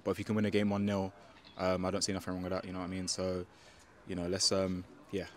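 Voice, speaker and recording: A man's voice: low voice, completely flat delivery, quick pace. A young man, a football player giving a post-match interview outdoors into a handheld mic, hesitant with many fillers and restarts. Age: young adult. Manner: hesitant, many fillers and restarts, keeps asking "do you know what I mean". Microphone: handheld microphone.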